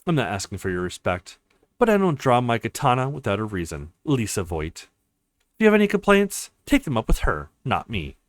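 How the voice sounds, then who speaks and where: A cold swordswoman from a visual novel, as a male player reads her speaks in formal, curt threats. The voice is mid-pitched and dry, with expressive rises and falls. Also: a little fast, dry recording. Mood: stern.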